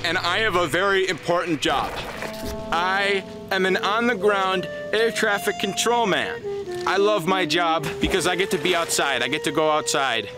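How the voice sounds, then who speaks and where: A young man in a comedy sketch monologue, talking in a whiny, rambling, self-pitying way. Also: high voice. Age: young adult. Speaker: sketch comedian playing a hapless worker. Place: outdoors on a lake beach.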